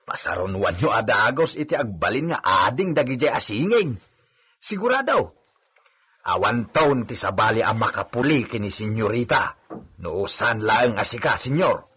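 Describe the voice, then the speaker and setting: A man acting an eager, deferential villager serving a rich landowner in an Ilocano comedy radio drama, with an Ilocano accent and an animated, fawning manner. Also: mid-range voice, unhurried, husky, full character voice. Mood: eager, scheming.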